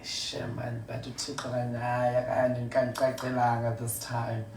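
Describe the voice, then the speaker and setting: A South African woman speaking as a podcast guest, reflective and unhurried, laughing between thoughts. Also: a bass voice, monotone, darkish tone, a little hiss or hum. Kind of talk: casual panel chat. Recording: distant mic in an echoey room.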